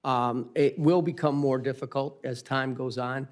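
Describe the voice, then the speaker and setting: An American man giving unscripted formal testimony as a witness, with halting starts and repeated words. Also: medium pitch, fairly even intonation. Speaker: technicians' representative testifying as witness.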